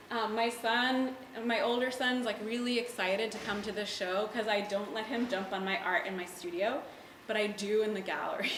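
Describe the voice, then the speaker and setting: A woman's voice: a little high, a bit bright, a little hiss or hum. Chatty woman in an echoey gallery. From United States. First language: Hebrew. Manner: chatty, lots of "like" and "um" fillers. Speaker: artist giving a gallery talk. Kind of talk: casual presentation to an audience. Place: art gallery, indoors.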